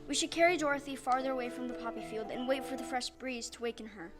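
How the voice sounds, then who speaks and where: A woman playing a kind, gentle tin woodman in a stage audio play, earnest and courteous in delivery. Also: high voice, fairly even intonation, fast, lightly acted. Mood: sorrowful. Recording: live stage recording with music.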